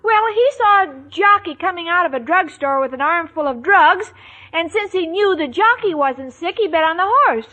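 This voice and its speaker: A dim, sweet girl from an old radio comedy, super high bright voice, earnest and guileless. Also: expressive, moderate pace, heavily acted. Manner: earnest, guileless, literal-minded. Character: naive, dim-witted, sweetly excitable young bride-to-be. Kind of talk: 1940s radio sitcom acting. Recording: dull 1940s radio recording, studio audience.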